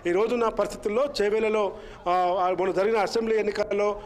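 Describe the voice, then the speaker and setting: A man giving a live political news report in a halting, repetitive way, stumbling over his words. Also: high-pitched, fairly even intonation. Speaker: field reporter.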